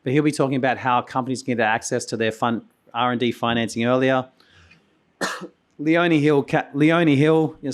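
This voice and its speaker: Hoarse Australian man giving a keynote. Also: mid-range voice. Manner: energetic, repeats words, restarts phrases. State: losing his voice, coughing. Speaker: conference host. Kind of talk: conference keynote speech. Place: conference hall stage.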